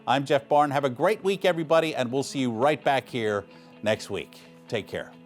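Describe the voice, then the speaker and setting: A man's voice: medium pitch, normal speed, faint music behind. Playful local news anchor, a man. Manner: playful, mock-dramatic. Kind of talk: scripted news narration with light humor.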